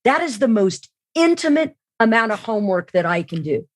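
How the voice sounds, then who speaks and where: A woman's voice: on the high side, very animated, moderate pace, no room sound. Woman explaining in conversation, close dry recording. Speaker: podcast guest, sales trainer and keynote speaker. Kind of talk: conversational expert interview.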